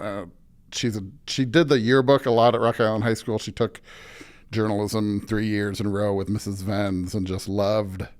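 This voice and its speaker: A middle-aged man with a Midwestern American accent, talking casually and anecdotally as an interview guest, often dropping in "like". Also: lowish voice. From suburban Illinois, United States. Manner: chatty, anecdotal, frequently says "like".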